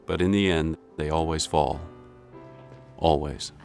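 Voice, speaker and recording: A male actor playing a grave criminal profiler, reciting quotations in a measured, gravelly voice-over for a TV drama. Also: very deep voice, fairly even intonation, heavily acted. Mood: solemn. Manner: measured, solemn. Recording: music under the voice.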